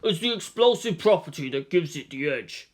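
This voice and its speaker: A man voicing a weary, blunt old gunsmith in his seventies with a gravelly voice and a matter-of-fact manner, in a storybook reading. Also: fairly high pitch, lively intonation, moderate pace. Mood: matter-of-fact, grim.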